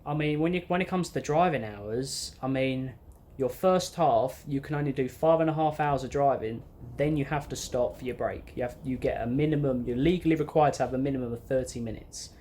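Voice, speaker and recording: A man's voice: mid-range voice, fairly quick, darkish tone. A twenty-year-old man, chatting off the cuff to camera in an informal, matter-of-fact, rambling way. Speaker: bus driver vlogger. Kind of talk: unscripted casual chat to camera. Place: inside an empty parked bus. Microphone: phone or camera at arm's length. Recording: clear ambient noise inside bus.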